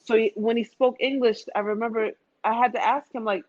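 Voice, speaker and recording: A woman's voice: a little high, fairly even intonation, a little fast, a little mellow. Woman chatting casually, dull lo-fi sound. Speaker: podcast speaker. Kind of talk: casual travel chat between friends.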